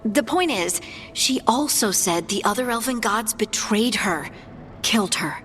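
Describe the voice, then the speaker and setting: A woman's voice: fairly high pitch, animated, a little slow, fairly bright tone, a little hiss or hum. A woman playing a candid companion in a fantasy game, conversational and matter-of-fact. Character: candid, informed female companion in a fantasy party. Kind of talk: naturalistic game dialogue.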